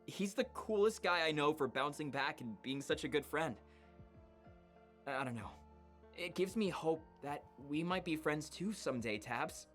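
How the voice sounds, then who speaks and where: A man's voice: a little high, animated, unhurried, fairly bright tone, soft background music. Friendly, unflappable teen boy, visual novel. Upbeat, very varied intonation, easy manner. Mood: earnest, friendly. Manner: upbeat, easygoing, unbothered. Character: relentlessly cheerful, friendly teenage boy.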